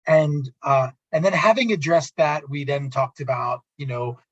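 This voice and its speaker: Halting male radio host, husky, roomy sound. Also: on the high side. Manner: halting, repeats and restarts words. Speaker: radio talk show host. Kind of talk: conversational interview, summarizing. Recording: muffled, roomy, echoey audio.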